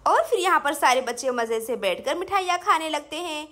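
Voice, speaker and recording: A woman's voice: high voice, animated, fairly quick, fairly bright tone. A woman storyteller giving scripted narration for a children's story.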